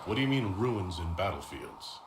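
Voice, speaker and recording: An actor playing a composed mage hero in a video game, direct and questioning in manner. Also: male voice, sits low, sing-song, normal speed. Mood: curious, reassuring. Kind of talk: video game dialogue acting. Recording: roomy echo, noisy background.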